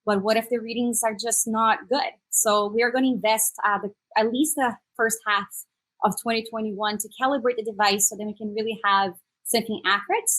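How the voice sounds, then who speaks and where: Brazilian woman with a slightly high voice. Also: steady tone, brisk, a bit bright, poor audio. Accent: Brazilian Portuguese. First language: Portuguese. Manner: upbeat and explanatory, with frequent "uh" fillers. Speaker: startup executive guest. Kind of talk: conversational interview on a panel.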